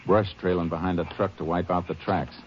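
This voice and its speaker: An actor playing a steady, shrewd Texas Ranger who also narrates, terse and authoritative, in a 1950s radio crime drama. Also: male voice, sits low, brisk, lightly acted. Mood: observant. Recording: noisy, muffled old radio broadcast.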